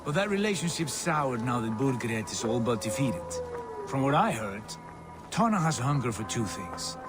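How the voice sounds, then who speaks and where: An actor playing a confident Viking leader in a video game, composed and wry in manner. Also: male voice, mid-range voice, sing-song, moderate pace, music in the background, close to an everyday voice. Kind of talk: video game character acting.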